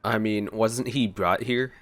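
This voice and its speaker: A man's voice: lowish voice, steady tone, unhurried, a bit bright. A man giving casual reaction commentary as he plays through a video game. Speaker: let's player.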